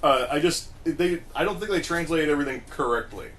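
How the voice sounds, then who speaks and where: A man with a slightly high voice talks casually as a podcast co-host. He explains things like he is telling a story, with faint noise behind him. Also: a little fast, fairly bright tone. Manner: explanatory, storytelling, acts out short quotes. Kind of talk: casual movie discussion.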